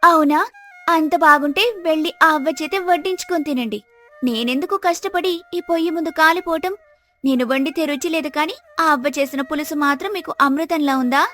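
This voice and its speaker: A woman's voice: high voice, fairly quick, fairly bright tone, soft background music, pitched above the performer's own voice. A woman voicing a young village wife, touchy and sarcastic but affectionate, in a storybook tale. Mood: jealous, sarcastic.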